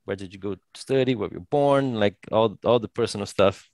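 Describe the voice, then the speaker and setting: A man's voice: fairly low pitch, a little fast, a little mellow, dry recording. A man hosting an eye care podcast who asks loose, casual questions packed with fillers. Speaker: podcast host, ophthalmologist.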